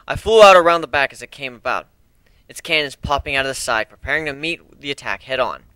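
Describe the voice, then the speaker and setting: Bright, higher-pitched man reading a story. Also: smooth, dry recording. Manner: theatrical, acts out dialogue. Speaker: amateur story reader. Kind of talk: dramatic reading of fan fiction.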